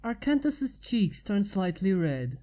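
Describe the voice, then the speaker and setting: A woman's voice: medium pitch, big pitch swings, slow pace, dark tone. A woman narrating a scripted piece of fan fiction as its reader.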